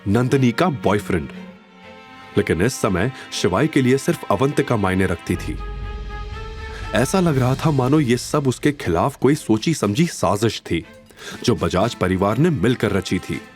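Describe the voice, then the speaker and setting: A man narrating a scripted story in a dramatic, expressive and suspenseful manner. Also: lowish voice.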